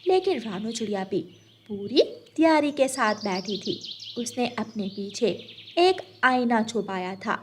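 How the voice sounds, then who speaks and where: High-voiced woman narrating a children's story. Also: lively intonation, unhurried, a little hiss or hum.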